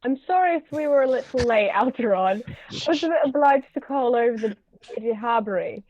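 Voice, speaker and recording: A woman's voice: a little high, expressive, a little fast, darkish tone, dry recording, lo-fi sound. A woman voicing an imperious older aristocratic aunt, stately and haughty, in a table read of a stage comedy over thin call audio. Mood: haughty gossip.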